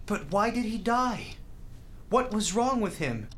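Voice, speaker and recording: An actor in a radio drama playing a grieving, bitter young hospital volunteer, tough but tender, speaking bluntly and haltingly. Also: male voice, high voice, very animated, a bit bright, slight background noise, heavily acted. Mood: anguished, angry. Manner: halting, emotional, blunt street talk.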